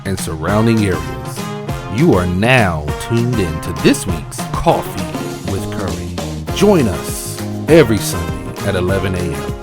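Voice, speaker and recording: A man giving a smooth radio-style show introduction as the announcer. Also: low-pitched, lively intonation, a little slow. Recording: voice over loud music bed. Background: loud music.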